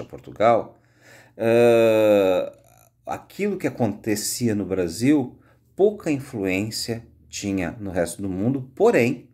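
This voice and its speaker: Deep-voiced Brazilian man teaching. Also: a little mellow. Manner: conversational, explanatory, frequent "né" tags. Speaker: high school history teacher. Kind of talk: informal recorded history lecture.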